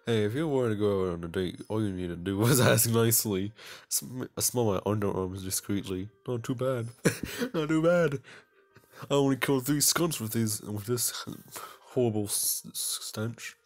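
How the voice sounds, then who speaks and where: Low-pitched man doing jokey gaming commentary. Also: moderate pace. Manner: jokey, self-mocking asides. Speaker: video game playthrough host. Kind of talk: casual gaming commentary mixed with reading visual novel text aloud. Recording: music behind the voice. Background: game music.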